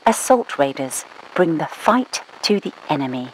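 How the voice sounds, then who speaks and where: A clipped British spymaster. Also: female voice, low-pitched, very animated, moderate pace, a little hiss or hum, rough recording. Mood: briefing. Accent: British English. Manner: clipped, dry, commanding, scolding. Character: brisk British woman spymaster giving orders. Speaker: mission controller. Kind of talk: game voice lines.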